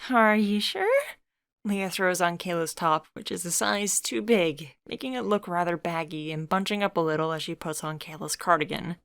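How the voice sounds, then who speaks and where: A woman's voice: lowish voice, normal speed, hardly any pauses, fairly bright tone, smooth, no room sound, clean studio sound. A woman narrating a comic-dub story, reading steadily and voicing every character.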